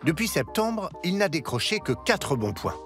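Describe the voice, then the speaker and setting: Lively male documentary narrator. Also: fairly high pitch, normal speed. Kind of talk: scripted voice-over narration. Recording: voice-over with faint music.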